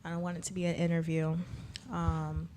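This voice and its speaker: A woman's voice: fairly low pitch, monotone, moderate pace, warm tone, slight background noise. A woman in her early twenties answering casually as a panel guest. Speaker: podcast panelist. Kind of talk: casual chat.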